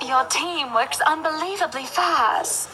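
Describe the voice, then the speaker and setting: Woman acting a high-voiced, gracious wealthy lady. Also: slow, noisy background. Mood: gracious, pleased. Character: gracious, upbeat wealthy married woman client. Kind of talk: visual novel character dialogue.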